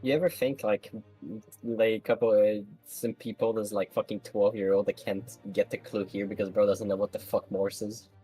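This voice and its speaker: A man's voice: fairly low pitch, little pitch movement, normal speed, dark tone, slightly rough, soft background music, rough recording. A man in casual livestream chat with friends, rambling and swearing. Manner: rambling, profane.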